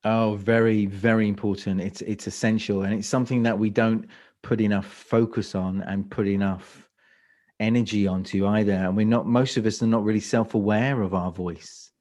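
Low-voiced London man, halting speech. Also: fairly even intonation, a little mellow. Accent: London English. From England. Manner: hesitant restarts, repeats words while thinking. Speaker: speaker coach guest. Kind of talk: conversational interview answers.

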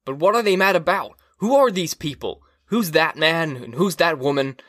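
A man's voice: medium pitch, lively intonation, moderate pace, fairly bright tone, dry recording, clean studio sound. A man playing a troubled, exasperated husband from a dystopian novel, asking urgent, probing questions.

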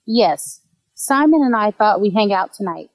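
A woman's voice: mid-range voice, animated, moderate pace, no room sound, close to an everyday voice. A woman playing a friendly, polite young woman out on a date in an audio drama.

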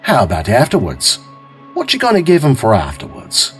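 A man's voice: mid-range voice, huge pitch swings, moderate pace, a bit bright, faint music behind, voice pushed up. Man doing a friendly grocer. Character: friendly male grocer, chatty shopkeeper.

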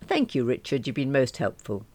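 A woman voicing a calm, wry middle-aged man with a smooth, deep voice, in a storybook reading. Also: moderate pace, no echo, full character voice. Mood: dry. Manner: dry, teasing.

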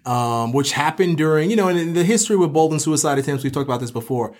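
A man's voice: fairly high pitch, expressive, quick pace, darkish tone. A man co-hosting a literary podcast, reflective and scholarly but colloquial in his phrasing. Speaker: podcast co-host and professor.

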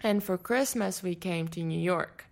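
A woman's voice: lowish voice, measured pace, creaky, a little mellow. A young woman from Latvia with a Latvian accent, chatting as an interview guest and telling her story with many fillers. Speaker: guest, showroom agency owner. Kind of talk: casual interview chat.

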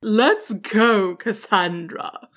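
A woman voicing a proud, protective female dragon in a children's story, commanding and deliberate. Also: medium pitch, big pitch swings, fairly bright tone, exaggerated voice. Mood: kind. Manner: slow, stern, deliberate.

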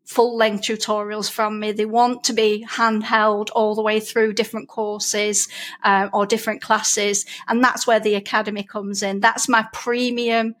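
A woman's voice: mid-range voice, level tone, unhurried. A middle-aged woman with a broad Lancashire accent, chatting and explaining things in a casual interview. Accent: broad Lancashire English. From Lancashire, England. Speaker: interview guest, painting teacher.

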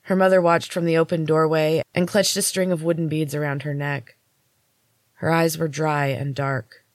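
A woman's voice: low-pitched, level tone, moderate pace, dry recording, studio quality. A woman hosting a podcast and reading her own fiction aloud as a narrator. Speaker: podcast host and author reading her novel. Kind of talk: audiobook-style story narration.

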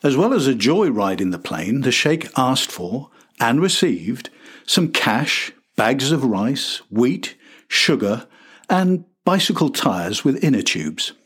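A middle-aged man with a husky voice, reading scripted documentary narration as a podcast host. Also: medium pitch, sing-song, slow pace, fairly bright tone.

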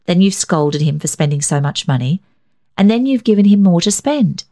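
A woman's voice: lowish voice, lively intonation, normal speed, dark tone. A woman voicing a frank, affectionate young mother in a storybook reading. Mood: earnest.

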